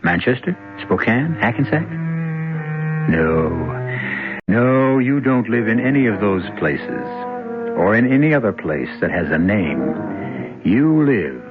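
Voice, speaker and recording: An American man hosting and narrating a 1970s radio mystery series, theatrical and deliberate, with dramatic pauses. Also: lowish voice, big pitch swings, over loud music. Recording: tinny vintage radio broadcast.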